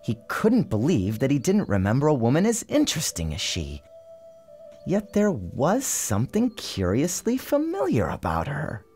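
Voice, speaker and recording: A man giving a measured, theatrical storybook narration in an expressive, dramatic style. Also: mid-range voice, husky. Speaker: author reading his book aloud. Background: music behind the narration.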